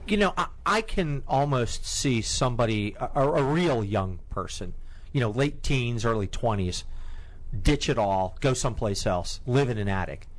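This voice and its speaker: Animated male interviewer, halting question starts. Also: mid-range voice, rough recording. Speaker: interviewer, host.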